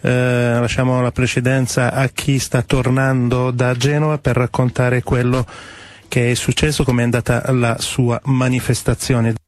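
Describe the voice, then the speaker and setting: A man's voice: medium pitch, little pitch movement, a little slow, no echo. Male radio phone-in host. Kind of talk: live phone-in hosting, hesitant.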